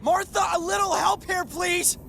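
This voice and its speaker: A man's voice: very high-pitched, fairly even intonation, moderate pace, vocal fry. A man playing an angry, distrustful young man in a horror game, agitated, confrontational and emotionally raw. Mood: panic. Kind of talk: horror game cutscene acting.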